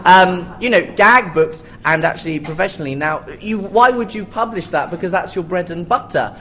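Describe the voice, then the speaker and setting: A man's voice: sits high, normal speed, bright, forceful tone, poor audio. A man speaking informally and knowledgeably as a panelist in a live theatre discussion. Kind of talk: informal expert panel talk.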